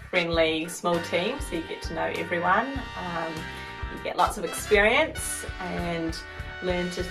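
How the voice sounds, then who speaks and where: A woman's voice: lowish voice, poor audio. A woman from New Zealand with a New Zealand accent, a hospital doctor, cheerfully praising her workplace. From Wellington, New Zealand. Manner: cheerful and positive. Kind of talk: promo self-introduction. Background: guitar music.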